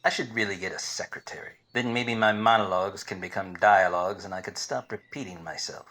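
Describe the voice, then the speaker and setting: A man playing a wisecracking, weary, obtuse private detective in a deadpan parody of 1940s radio drama. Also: sits low, brisk. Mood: wry, deadpan. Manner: deadpan, hardboiled monologue. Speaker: lead actor and narrator. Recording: faint background noise.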